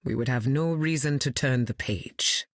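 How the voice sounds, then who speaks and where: A woman's voice: very deep voice, a little slow, no echo, studio quality. A regal, wistful queen. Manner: solemn, wistful. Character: regal, wise female weather goddess-queen. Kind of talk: video game voice acting.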